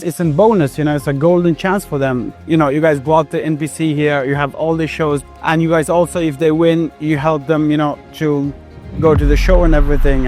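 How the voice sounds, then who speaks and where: Higher-pitched man from Afghanistan in an interview. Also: a little mellow. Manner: conversational, often tags sentences with "you know". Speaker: professional bodybuilder being interviewed. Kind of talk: casual interview answers, motivational. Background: music.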